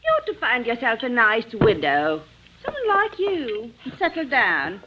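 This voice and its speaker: Snooty teasing young gal in old radio drama, super swoopy high voice, bit of hiss. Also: female voice, sing-song, unhurried, dull audio. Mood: mocking, teasing. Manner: dismissive, teasing, drawling. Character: flippant, scornful young woman, a murderer's sweetheart. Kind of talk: old-time radio suspense acting. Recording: noisy vintage radio recording with clicks.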